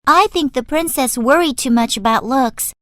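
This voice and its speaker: An earnest, kind young girl in a children's storybook, played by a woman. Her voice is high and bright, and she speaks gently. The sound is dry and close. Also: moderate pace, no room sound.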